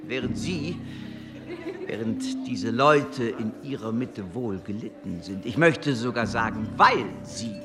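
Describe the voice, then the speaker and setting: A man's voice: medium pitch, slow pace, loud music behind the voice, lightly acted. A man acting in a German radio drama as a haughty, uneasy foreign embassy secretary with a guarded, formal way of talking. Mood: defensive, indignant. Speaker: actor in a radio play.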